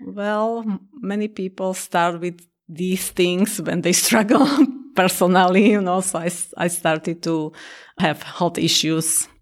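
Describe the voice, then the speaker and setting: Woman, Slovak accent, deep voice, fried edges, halting interview talk. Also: steady tone. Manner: hesitant with fillers, laughs often. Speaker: guest, Tai Chi and Qigong teacher.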